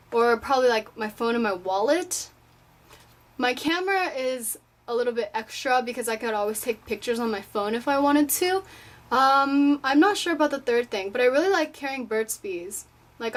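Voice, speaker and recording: An eighteen-year-old woman from Japan chatting casually in a vlog, answering viewer questions at home in her room, rambling and filling her talk with "like". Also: sits high. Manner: chatty, rambling, fills with "like" often.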